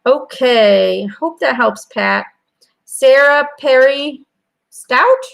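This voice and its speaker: Chatty, gravelly-voiced Belgian woman reading cards. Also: sits high, extremely slow, fairly bright tone. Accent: American-sounding English. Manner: chatty, hesitant, repeating names and phrases. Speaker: angel card reader. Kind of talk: live-stream card reading. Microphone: webcam.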